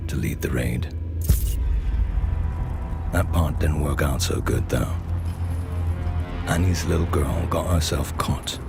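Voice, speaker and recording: An actor playing a grizzled, wry male storyteller, narrating a gritty game cutscene in a gravelly voice. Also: very deep voice, takes it slowly, long pauses, full character voice. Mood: wry, ominous. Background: loud music.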